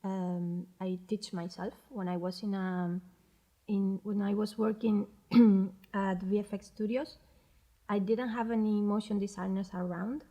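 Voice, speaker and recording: Interviewee: Spanish-accented woman, unhurried and hesitant, relaxed mellow tone, reflective delivery. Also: mid-range voice. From Spain. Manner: hesitant, searching for words. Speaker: interviewee, animation director and animator.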